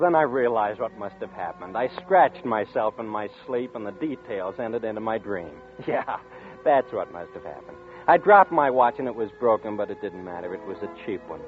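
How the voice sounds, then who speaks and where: A man voicing a nervous, brooding young man who tells his own nightmare in tense first person, his pitch rising and falling widely. The sound is a tinny vintage radio broadcast with music underneath. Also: lightly acted. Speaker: narrator and lead character. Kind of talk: 1940s radio acting.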